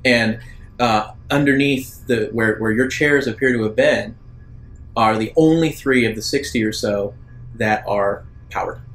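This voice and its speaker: A man acting as game master, improvising narration for a tabletop roleplaying game in halting, thoughtful phrases. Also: mid-range voice, fairly bright tone, rough recording.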